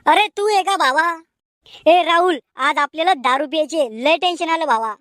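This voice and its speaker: Very high-pitched cartoon village lad voiced by a woman. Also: normal speed, a bit bright, no room sound, studio quality. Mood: bantering. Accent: rural Maharashtrian Marathi. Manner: colloquial, bantering. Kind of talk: cartoon comedy dialogue.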